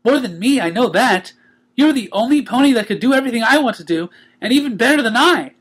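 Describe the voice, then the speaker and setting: A bubbly young female cartoon pony acted out by a man, very high and bright, chattering with childlike cheer. The delivery is bouncy and lively, made for a storybook. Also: quick pace, husky, heavily acted. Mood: upbeat.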